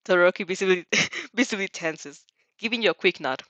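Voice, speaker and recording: A woman's voice: fairly low pitch, lively intonation, brisk, fairly bright tone, no room sound, dull audio. Woman reading fan fiction aloud, dry sound. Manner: giggly, stumbles over phrases and rereads them. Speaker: fan fiction reader reacting as she reads. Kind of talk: expressive storytelling read-aloud with amused reactions.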